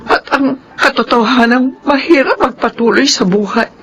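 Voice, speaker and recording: A woman's voice: high-pitched, normal speed, a bit bright, slight background noise, rough recording. A woman playing a remorseful, emotional mother in a radio drama, speaking hesitantly. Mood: sorrowful. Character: remorseful mother, weak and envious of her resilient daughter. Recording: radio drama with music behind.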